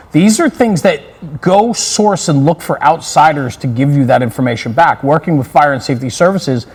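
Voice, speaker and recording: Male interview host, medium pitch, emphatic conversational summaries, long echoing reverb on the voice. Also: gravelly, a little mellow, husky.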